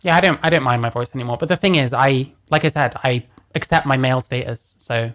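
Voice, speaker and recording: Guy with a deepish voice ranting over a clip, swears a lot, blunt, middle pitch. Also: fast, fairly bright tone. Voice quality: deeper voice. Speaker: commentary host. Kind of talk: casual reaction commentary.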